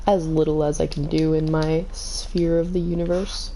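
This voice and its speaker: A woman streaming a game, chatting casually with viewers, her voice a little hoarse and scratchy, kept calm and low. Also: normal speed. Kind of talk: casual gaming stream chat.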